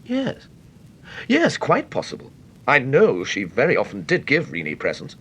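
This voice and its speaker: An actor playing an anxious, agitated English widower in a radio mystery drama, well-spoken and excitable with a British accent. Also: male voice, medium pitch, moderate pace, slight background noise. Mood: uneasy, candid.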